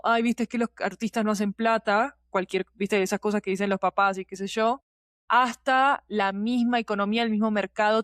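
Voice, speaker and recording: A woman's voice: mid-range voice, dry recording, studio quality. Candid woman. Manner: candid, colloquial, often checks the listener understands. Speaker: podcast guest, fiction podcast producer. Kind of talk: reflective conversational interview answer.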